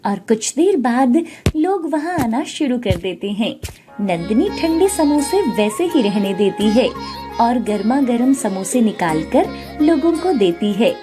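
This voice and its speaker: A woman reading scripted narration for an animated story. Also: fairly high pitch, measured pace. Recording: music bed behind the voice. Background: background music.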